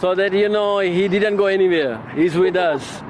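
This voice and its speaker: Guy vlogging w friends on a night street, bit high voice, lots of uhs, traffic all around. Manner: halting, many fillers, switches languages mid-sentence. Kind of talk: casual street vlog chat. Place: city street at night, outdoors. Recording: loud street traffic behind.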